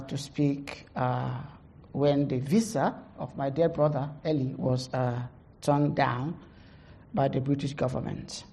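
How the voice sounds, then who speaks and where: A middle-aged woman pastor with a heavy Nigerian accent gives a conference testimony, halting, with many fillers, laughing at herself. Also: sits low, dark tone, slight background noise.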